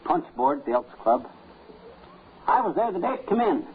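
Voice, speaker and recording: A man's voice: a little high, sing-song, moderate pace. An American man playing a blustery, folksy, wisecracking married man in a 1950s radio comedy. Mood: dry, folksy. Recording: tinny, noisy old radio recording.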